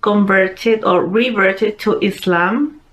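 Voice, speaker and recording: A young woman from Peru with a Peruvian Spanish accent, telling her personal story in an unhurried way, with many pauses and fillers. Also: medium pitch, low-quality recording. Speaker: convert sharing her story. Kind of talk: personal testimony monologue.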